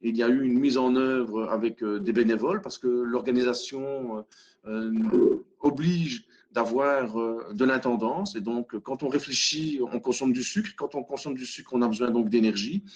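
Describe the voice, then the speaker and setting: A man's voice: medium pitch, moderate pace, a little mellow, breathy edge, lo-fi sound. A man with a Belgian French accent presenting a hackathon method in a webinar, hesitant, with many fillers and restarts. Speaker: hackathon facilitator. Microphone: video call.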